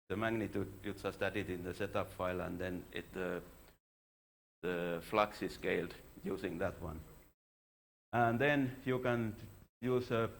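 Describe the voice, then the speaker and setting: A man with a low, gravelly voice gives an informal research talk as an astronomer. His delivery is halting and a little self-deprecating, with light noise in the recording. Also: fairly bright tone. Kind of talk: contributed scientific conference talk. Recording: clear background noise.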